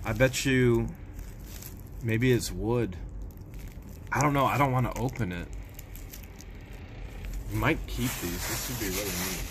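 A playful man in his thirties chats excitedly while digging through boxes, teasing whoever is behind the camera. His voice is picked up by a phone held at arm's length in a big warehouse, with a steady hum of noise behind him. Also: lowish voice, measured pace, long pauses. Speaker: host. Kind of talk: casual unboxing chat. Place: large indoor storage warehouse.

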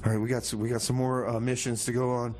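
A man hosting a community radio show with casual, deadpan comic banter, often repeating his phrases. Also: medium pitch, fast, a little hiss or hum. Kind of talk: casual community radio comedy banter, song back-announcing.

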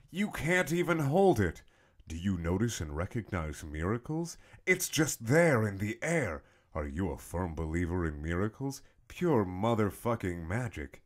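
A young man giving a mock-dramatic, theatrical reading with swooping emphasis and long pauses. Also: lowish voice. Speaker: comedic reader.

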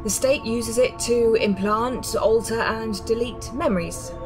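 A woman's voice: a little high, measured pace, over music, close to an everyday voice. A woman playing a composed resistance medic in a radio drama, explaining things in a blunt, matter-of-fact way. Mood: blunt, sober.

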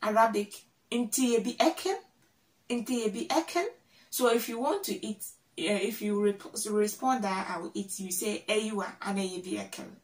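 A young woman from Ghana talking to camera in a vlog, slowly drilling words and repeating each one several times. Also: medium pitch, far from the mic. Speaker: vlogger. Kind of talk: casual teaching to camera. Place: small room indoors, plain wall. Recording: echoey room, voice off-mic.

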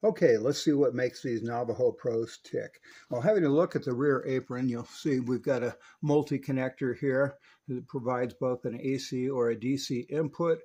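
A man with a medium-pitched voice presents a hands-on electronics review. He explains things in a methodical, technical way, moving from one detail to the next like someone demonstrating at a bench. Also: moderate pace, mellow, husky. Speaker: presenter of radio equipment reviews.

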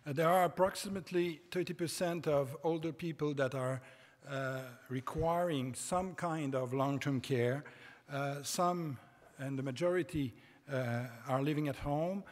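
A middle-aged man with a French Canadian accent, a native French speaker giving a conference lecture from a podium, halting with many hesitations and restarts. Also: a little high. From Quebec, Canada. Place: large conference hall stage. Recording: reverberant conference hall.

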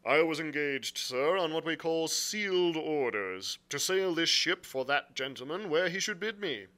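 A man's voice: a little high, lively intonation, measured pace, sharp tone, smooth, pitched above the performer's own voice. A man voicing a stern, stubborn ship's captain in an adventure story, clipped and plain-spoken. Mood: stiff, formal.

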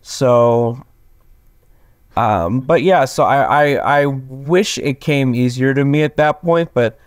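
A young man, a tenor with a voice lowered from just waking, talking casually and haltingly as an actor being interviewed. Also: no room sound, clean studio sound. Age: young adult. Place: plain small room indoors, video call.

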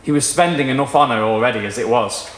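A young man giving an expressive, theatrical live reading of literary fiction. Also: mid-range voice, fast talker, slight background noise. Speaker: story reader. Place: small indoor performance room with wooden wall. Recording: roomy live venue sound.

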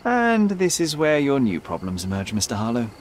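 An actor playing a young detective in a game, articulate, precise and self-assured. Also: male voice, medium pitch, wildly swooping pitch, normal speed, a little hiss or hum. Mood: confident, probing. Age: young adult. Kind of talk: dramatic game cutscene dialogue. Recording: faint night ambience behind.

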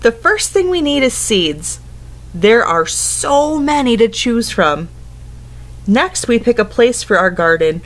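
A woman's voice: fairly high pitch, animated, slow pace, a little hiss or hum. A woman in her thirties reading a picture book aloud to young children, instructing in simple phrases and putting questions to them. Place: indoors at home, living room couch.

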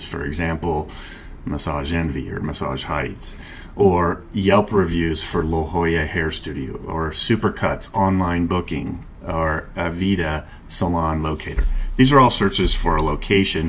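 A middle-aged man narrating a screen-share tutorial, calmly explaining each step and click as a marketing instructor. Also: low voice, a little hiss or hum. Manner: calm, explanatory, walks through clicks step by step.

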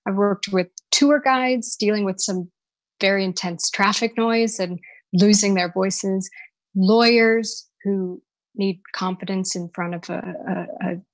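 A woman's voice: medium pitch, steady tone, slow pace, dry recording. Woman voice coach, dry close sound. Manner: thoughtful, searching for words with restarts. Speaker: voice and dialect coach. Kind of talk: live podcast interview.

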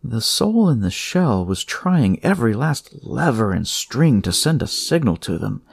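A man reads horror fiction as a dramatic narrator. His delivery is slow and suspenseful, broken into short phrases, with lively pitch movement. The sound is close and dry. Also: creaky, slightly rough, dry recording.

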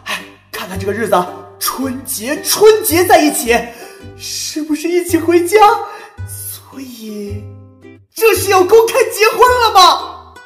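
Woman as gushing young forum poster, audio drama, animated pitch, dramatic, echoey, music. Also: unhurried. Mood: excited, regretful. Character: excitable young woman posting a celebrity sighting online. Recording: echoey room effect with music.

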